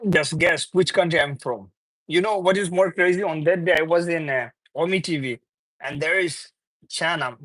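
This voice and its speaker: Young male streamer, slightly high voice, from Bangladesh; loose, chuckling banter with chat, dry close sound. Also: moderate pace, a bit bright, dry recording, poor audio. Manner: chuckles often, reads viewer comments aloud; loose, repetitive, teasing banter. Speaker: livestream host. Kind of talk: casual live chat with viewers.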